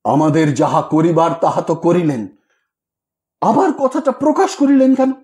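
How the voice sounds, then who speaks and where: A man's voice: fairly high pitch, expressive, normal speed, darkish tone, roomy sound, natural voice. A man voicing an indignant young husband who holds back his anger as he asks challenging questions. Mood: restrained anger.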